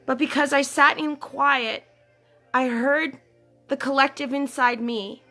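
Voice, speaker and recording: Bright earnest woman coach talking live. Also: sits high, fairly even intonation, takes it slowly, faint music behind. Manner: earnest, repeats phrases, many fillers and "okay?". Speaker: life coach. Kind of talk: casual livestream talk to followers. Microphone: phone or webcam.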